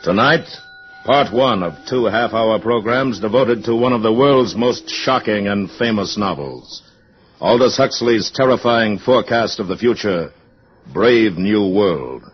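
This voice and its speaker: A man's voice: on the low side, unhurried. An American man announcing on 1950s network radio in a formal, stately and dramatic style. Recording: muffled vintage radio broadcast, music behind.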